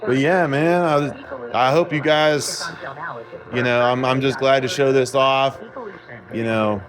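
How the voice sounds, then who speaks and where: A man's voice: medium pitch, fairly even intonation, slow. A man giving a casual, rambling demonstration in an informal, self-assured way, like a hobbyist vlogger. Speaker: vlogger showing a repaired vintage car radio. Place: repair shop, indoors.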